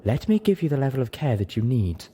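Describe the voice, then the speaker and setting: A man voicing a caring female pony medic, motherly and gentle but insistent. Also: medium pitch, big pitch swings, quick pace, husky. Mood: gently insistent.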